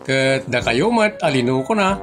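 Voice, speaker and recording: A man's voice: medium pitch, expressive, normal speed, over music, lightly acted. A man with an Ilocano accent playing a contrite, pleading debtor in an audio comedy-drama, his voice strained. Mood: aggrieved, pleading.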